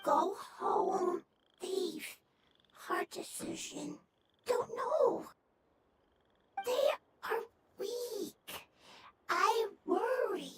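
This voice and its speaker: Small game creature, very high-pitched, slow broken words. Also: lively intonation, very noisy, full character voice. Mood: worried. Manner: halting broken phrases. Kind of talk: video game voice acting.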